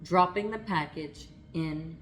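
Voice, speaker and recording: A woman narrates a children's story at a very slow pace, in a slightly low voice. She is expressive and dramatic, drawing out words to build suspense. There is faint noise in the recording. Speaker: storyteller reading a picture book aloud.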